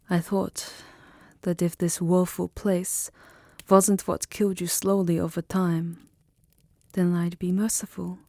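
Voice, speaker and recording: A seductive vampire countess, played by a woman, speaks very slowly in a low, mellow murmur that often falls to a whisper. She is languid and intimate, a tender lover with a wistful, dangerous edge, close and dry as ASMR roleplay. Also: dry recording. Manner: hushed, breathy, often dropping into whispers, languid and sensual.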